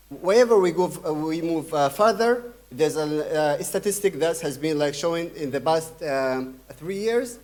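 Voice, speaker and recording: Young male keynote speaker from Sudan, slightly high voice, hesitant delivery full of fillers. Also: darkish tone. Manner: halting, many fillers and restarts. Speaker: conference speaker. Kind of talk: conference keynote talk.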